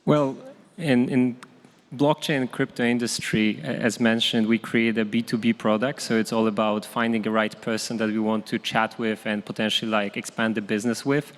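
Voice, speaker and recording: A man speaking as a panelist, energetic and passionate in his storytelling. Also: on the low side, normal speed. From Poland. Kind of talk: panel discussion. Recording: hall reverb, event stage.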